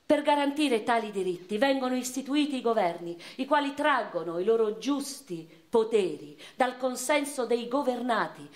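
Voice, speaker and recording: An actress playing an educated, passionate and wounded eighteenth-century noblewoman, recalling her life in a measured, intense radio monologue. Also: a little high, expressive, close to an everyday voice. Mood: composed, reflective. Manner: measured, intense delivery swinging from hushed to impassioned. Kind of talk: theatrical first-person dramatic monologue for radio. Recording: roomy echo, faint music behind.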